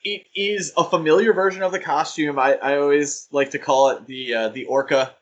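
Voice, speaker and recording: A man's voice: on the high side, steady tone, moderate pace. A man co-hosting a podcast, chatting casually and enthusiastically about comics, with frequent fillers and laughs. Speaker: podcast co-host. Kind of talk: casual chat.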